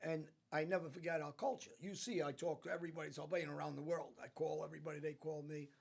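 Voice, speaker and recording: American guy, gravelly, kinda high voice, emphatic storytelling, restarts a lot. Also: steady tone, fast, darkish tone. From United States. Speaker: podcast guest. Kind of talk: casual conversation.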